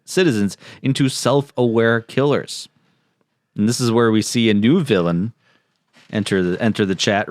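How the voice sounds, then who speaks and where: A man's voice: medium pitch, no room sound, clean studio sound. A man co-hosting a podcast, bantering casually and reading out absurd plot summaries with mock-dramatic delivery. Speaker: podcast co-host.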